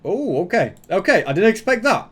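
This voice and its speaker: A man's voice: sits high, big pitch swings, brisk, a bit bright, slight background noise. Man rambling through casual reaction commentary. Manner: rambling, thinking aloud. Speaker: YouTuber host reacting to a video.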